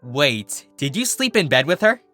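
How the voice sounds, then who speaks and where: Someone doing a cheeky girl cartoon unicorn, smug n teasing, bright bouncy pitch. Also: big pitch swings, measured pace, faint music behind. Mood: curious. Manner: smug, playful, giggly. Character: mischievous, teasing young female cartoon unicorn.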